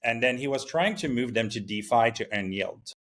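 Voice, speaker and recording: A founder from Morocco talking casually in an interview, full of fillers and restarts. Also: male voice, medium pitch, expressive, fairly bright tone. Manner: halting, many fillers and restarts. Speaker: startup founder, guest.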